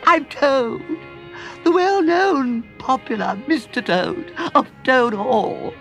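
A man voicing a boastful male toad who fakes being a weepy washerwoman, wailing in a sobbing falsetto. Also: sing-song, slow, gravelly, fairly bright tone, soft background music, full character voice. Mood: desperate begging.

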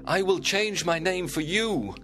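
A man voicing Romeo, an ardent, romantic young man, speaking softly and tenderly for an audiobook drama. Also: mid-range voice, animated, a little slow, soft background music. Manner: soft and hushed, tender.

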